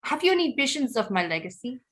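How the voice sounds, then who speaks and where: A woman's voice: high-pitched, sing-song, fast, fairly bright tone. An actress playing a proud, brooding queen mother in an audio play, resentful of her critics, with a regal, formal and dramatic delivery. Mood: imperious, questioning.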